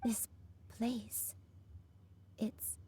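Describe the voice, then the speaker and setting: A woman voicing a frail, gentle old grandmother in a video game, soft and breathy, speaking haltingly and tenderly with guilt beneath it. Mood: dawning recognition.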